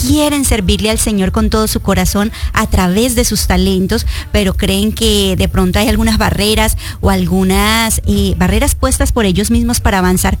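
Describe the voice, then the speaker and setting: A woman hosting a radio interview, speaking in an encouraging, affirming way to her guest and listeners. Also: mid-range voice.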